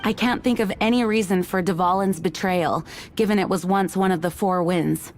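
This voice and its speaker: A woman playing an earnest, composed young knight commander in a video game, with a formal, measured delivery. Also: medium pitch, steady tone, darkish tone, a little hiss or hum, lightly acted. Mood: serious, reflective.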